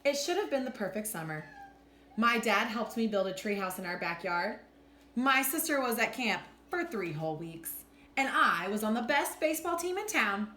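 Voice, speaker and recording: A woman reading a children's storybook aloud in an expressive, deliberate storytelling manner. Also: on the high side. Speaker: teacher reading a picture book aloud. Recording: roomy echo with faint background noise.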